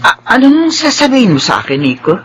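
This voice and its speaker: A man's voice: extremely high pitch, wildly swooping pitch, fast, over music, heavily acted. A man playing a doting, persuasive older mother who owns a resort, in a Filipino radio drama. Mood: wary.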